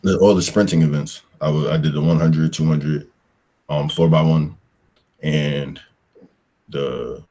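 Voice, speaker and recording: A man's voice: extremely low pitch, fairly even intonation, measured pace, pauses often, mellow. A young man from Pennsylvania, a football player answering interview questions in a loose, rambling way with slang and lots of fillers. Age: young adult.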